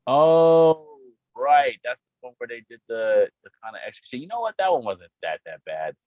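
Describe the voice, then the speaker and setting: An American man co-hosting a casual chat, slangy and profane, breaking into exclamations and halting as he gathers his opinions. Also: medium pitch, wildly swooping pitch. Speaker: podcast co-host. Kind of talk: casual banter about movies and anime. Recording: roomy, dull microphone sound with music behind.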